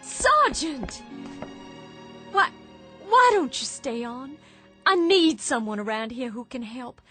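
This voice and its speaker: A sick, grief-hardened widow from the American South in an old-time radio play. Her high voice swings widely as she presses her point, often stammering on her first words, with soft music underneath. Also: big pitch swings, slow pace, exaggerated voice. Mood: pleading. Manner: emotional and insistent, with stammered starts. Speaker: radio drama actress. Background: faint underscore music.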